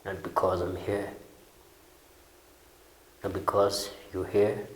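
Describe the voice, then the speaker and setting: A man performing a dramatic monologue as a bitter, regretful long-serving convict, slowly and with halting pauses. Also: low voice, little pitch movement. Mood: bitter, weary. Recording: echoey room with noise.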